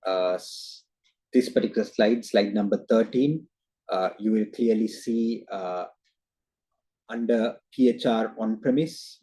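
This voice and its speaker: A man giving a formal investor presentation as a company executive on a video call, halting often with hesitant filler sounds. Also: on the low side, dark tone, husky, poor audio. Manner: hesitant, halting delivery with frequent uhs.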